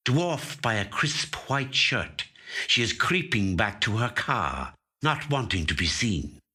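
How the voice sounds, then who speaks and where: Male narrator, low pitch, highly varied intonation, deliberate literary reading, dramatic phrasing, studio recording. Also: big pitch swings, bright, forceful tone, breathy edge. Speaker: professional actor reading a short story. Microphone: studio microphone.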